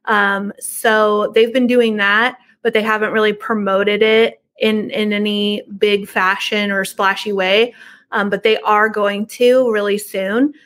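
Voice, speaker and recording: A woman's voice: mid-range voice, level tone, unhurried, a bit bright. A middle-aged woman chatting casually and informally as a podcast guest on a video call from her home. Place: living room at home.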